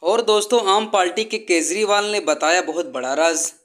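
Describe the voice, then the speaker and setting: A man's voice: high-pitched, normal speed, background noise. A man delivering scripted satirical narration as a video host, mockingly addressing viewers as his friends.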